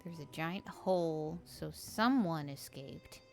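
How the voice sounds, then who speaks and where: A woman streaming a game, with a low, slightly raspy voice and a flat, deadpan delivery. She talks slowly, and faint music plays behind. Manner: monotone, dry, joking. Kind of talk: casual let's-play commentary.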